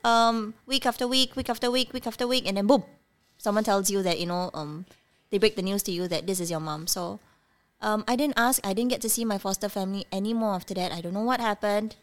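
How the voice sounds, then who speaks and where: A woman's voice: mid-range voice, fairly quick. A woman in her thirties whose first language is Cantonese, candidly telling her childhood story as a podcast guest. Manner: candid, animated storytelling with many fillers. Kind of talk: personal life story interview.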